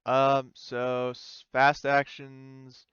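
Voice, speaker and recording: A man's voice: medium pitch, completely flat delivery, very slow, fairly bright tone, clear, resonant tone, no room sound, highs cut off. A man acting as game master of a tabletop roleplaying game, casually thinking aloud as he talks through rules and turns.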